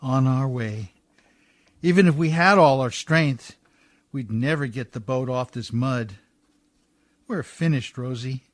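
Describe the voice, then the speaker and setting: Man acting a slow-talking rough riverboat skipper. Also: medium pitch, no echo, lightly acted. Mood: feverish, resigned. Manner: folksy ungrammatical speech. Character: rough, warm-hearted riverboat skipper, weary but dogged. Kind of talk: radio play acting.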